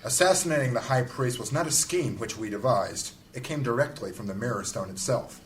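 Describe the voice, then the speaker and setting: A man's voice: medium pitch, a little fast, a little hiss or hum, close to an everyday voice. A man playing an arrogant, scornful mage councillor in a fantasy audio drama, with a theatrical, cutting delivery. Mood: argumentative.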